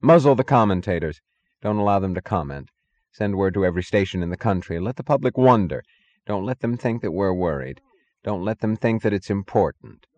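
A man's voice: on the low side, expressive, a little fast, darkish tone, no echo, highs cut off, close to an everyday voice. A man voicing an irritable, uneasy boss who snaps out commands in an audiobook novel. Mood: commanding. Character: blustering, irritable male boss barking orders.

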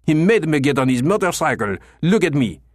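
A man's voice: a little high, big pitch swings, a little slow, a bit bright, no echo, studio quality, voice pushed up. A man doing an irate, nasal old French Canadian critic. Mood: raging indignant. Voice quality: thin, nasal, indignant.

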